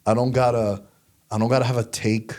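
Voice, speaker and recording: Male sports talk host, New York accent, soft-edged mellow tone, slangy and emphatic, casual chat. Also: medium pitch, flat delivery, a little fast.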